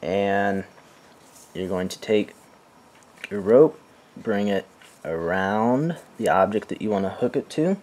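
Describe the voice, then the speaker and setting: Slow, deep-voiced man teaching knots. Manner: calm, unhurried, with soft fillers. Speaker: knot-tying instructor. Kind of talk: step-by-step demonstration. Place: indoors, filming hands over a carpeted floor.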